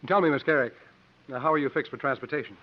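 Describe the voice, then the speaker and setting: An actor playing a roguish, unruffled adventurer with an urbane, dryly formal manner in 1950s radio acting. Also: male voice, mid-range voice, quick pace, close to an everyday voice. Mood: brisk. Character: suave, sardonic, roguish male adventurer, cool under fire. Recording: dull, noisy 1950s radio recording.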